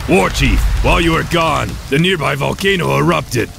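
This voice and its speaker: Brief: orc scout, game cutscene, high-set, intensely gravelly voice. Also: male voice, expressive, moderate pace, fairly bright tone, faint music behind, exaggerated voice. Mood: urgent. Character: urgent orc grunt reporting to war chief. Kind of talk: video game character acting.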